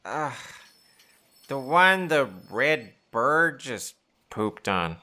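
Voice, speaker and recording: An actress playing a nervous, rule-bound assistant in an audio drama, stammering and deferential. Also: female voice, a bass voice, very animated, drawn-out words, noisy background, full character voice.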